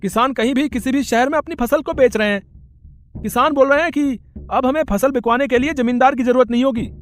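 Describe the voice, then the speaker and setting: A man's voice: very high-pitched, a little fast, a little hiss or hum. A man playing an anxious, pleading poor farmer and deferential younger brother in a moral story. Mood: urgent. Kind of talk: Hindi moral story voice acting.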